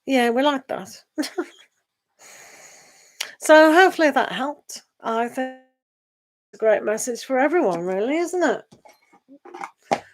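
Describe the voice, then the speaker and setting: A woman giving a live online tarot reading and losing her voice. She talks in a meandering, gentle way and reads the card texts aloud. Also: high voice, animated, slow, pauses often. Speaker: tarot reader.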